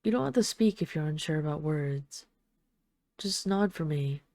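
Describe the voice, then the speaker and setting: Low, mellow flirtatious vampire lady played by a woman. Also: lively intonation, no room sound, studio quality. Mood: tender, seductive. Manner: teasing, coaxing, deliberate with lingering pauses. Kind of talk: intimate audio roleplay addressed to the listener.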